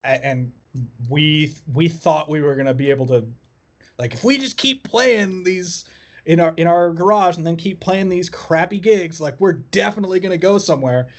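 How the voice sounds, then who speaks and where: A man with a slightly high voice tells stories about himself in a casual interview. He comes across as wry and self-deprecating, talking informally as a musician guest would. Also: moderate pace. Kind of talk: casual chat, personal storytelling.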